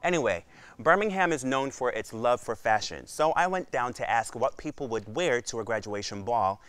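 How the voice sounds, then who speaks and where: Lively young male TV show host. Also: mid-range voice, moderate pace, clear, resonant tone, no echo. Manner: upbeat, chatty interviewer. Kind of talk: TV fashion show hosting. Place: TV studio set.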